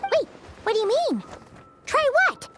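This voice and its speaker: A tiny excitable game creature, childlike and exclamatory. The voice is extremely high and squeaky, with loud music behind it. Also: female voice, unhurried, full character voice. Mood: confused.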